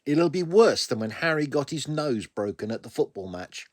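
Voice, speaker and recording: A man's voice: mid-range voice, big pitch swings, moderate pace, no echo, studio quality. A British man voicing a wounded, stubborn young woman paramedic in a wry, musing first-person audiobook narration. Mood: pained, wry. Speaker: author reading own story.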